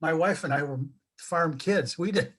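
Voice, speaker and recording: A man's voice: a little high, moderate pace, a bit bright. A seventy-nine-year-old man reminiscing casually in an interview.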